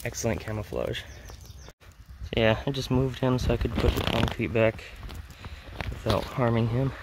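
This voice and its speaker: A man giving casual, low-key narration as a wildlife vlogger filming outdoors from behind the camera. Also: steady tone, normal speed. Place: outdoors in woods and grassland. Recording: outdoor ambient noise.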